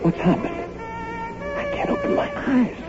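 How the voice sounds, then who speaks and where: An actor playing a terrified soldier in an old radio drama, anguished and urgent. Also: male voice, very high-pitched, huge pitch swings, moderate pace, heavily acted. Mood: bewildered. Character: terrified soldier who hears his own burial. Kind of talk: 1970s radio drama acting. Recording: muffled old radio broadcast with music.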